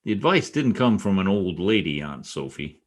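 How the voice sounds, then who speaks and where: A man playing a vain newspaper columnist in an old-time radio comedy, blustering and easily flustered by flattery. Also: low voice, moderate pace. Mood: smitten, pleased. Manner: animated, blustering, hesitant when flattered. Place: home, online video-call production.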